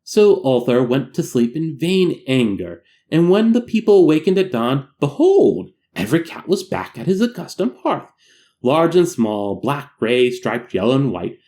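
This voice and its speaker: A man reading a classic tale aloud as a narrator, in a dramatic, measured and solemn storytelling manner. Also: medium pitch, big pitch swings, mellow. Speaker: amateur audiobook narrator.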